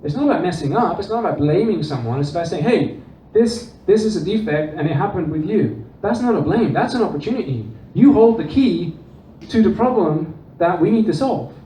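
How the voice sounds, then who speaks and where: A man with a Dutch accent, giving an animated conference talk and acting out quoted dialogue. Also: high-pitched, fairly quick. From Netherlands and England. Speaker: conference presenter. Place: conference room. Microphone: distant room recording. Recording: distant, roomy, dull with faint noise.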